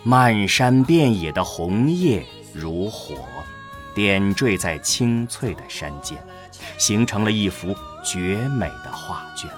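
A man's voice: on the low side, big pitch swings, slow pace. A male narrator reads a scripted audio drama over faint music. He takes his time, and his mid-range voice moves expressively up and down.

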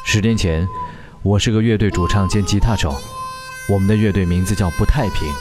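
An actor playing a weary, wistful singer in a radio drama, with measured, introspective delivery. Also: male voice, fairly low pitch, steady tone, lightly acted. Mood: wistful, reflective. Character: weary, wistful male pop singer recalling his past. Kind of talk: radio drama acting with reflective narration. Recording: music bed behind the voice.

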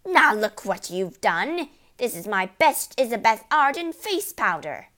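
A woman's voice: mid-range voice, big pitch swings, unhurried. A woman voicing a scornful, scolding storybook mother, exasperated and apt to shriek at her husband.

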